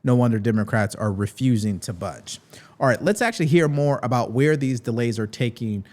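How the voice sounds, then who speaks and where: Man, American accent, mid-pitched, political commentary host, speaking straight to viewers. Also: a little fast, a little mellow.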